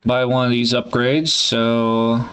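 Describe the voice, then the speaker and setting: A man in a candid solo vlog, thinking aloud in a halting, hesitant way and swearing casually. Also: medium pitch, monotone, a bit bright, smooth. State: congested. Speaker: vlogger.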